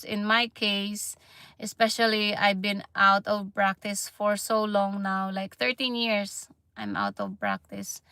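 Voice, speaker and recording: A Filipino woman in her thirties talks slowly and haltingly on a casual livestream, restarting often and trailing off. Her voice has a gravelly creak, and the close recording is dry with no room sound. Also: mid-range voice, a bit bright, no echo. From Philippines. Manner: halting, many fillers and restarts, laughs often. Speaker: livestream vlogger.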